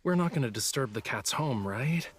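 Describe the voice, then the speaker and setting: A male actor voices an aloof young man from a fantasy game dub. He teases and dramatizes in a mid-pitched voice. Music plays quietly beneath him. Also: lively intonation, moderate pace, natural voice. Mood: dry, teasing. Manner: teasing, dramatic. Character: aloof, teasing young man with fire powers, scared of cats. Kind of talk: English game dub voice acting. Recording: background music under dialogue.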